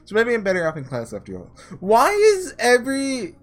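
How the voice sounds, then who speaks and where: A man doing a casual let's play, reading story text aloud and breaking off into excitable asides, with game music behind him. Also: very high-pitched, normal speed. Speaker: gaming video creator doing a blind playthrough.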